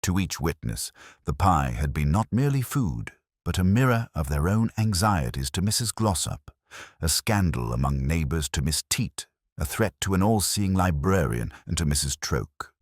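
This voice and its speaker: A man giving a measured, literary narration of a period detective story. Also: very deep voice, animated, no echo, studio quality. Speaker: audiobook narrator.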